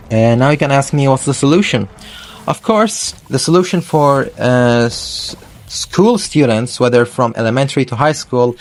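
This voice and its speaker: A young man from Iraq talks straight to his viewers in a middle-pitched voice, offering earnest advice. He thinks aloud, stopping on "uh" and fixing his words mid-sentence. Soft music plays faintly under him. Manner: earnest and thoughtful, with frequent "uh" and self-corrections. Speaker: vlogger on learning English. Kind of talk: casual spoken advice to camera.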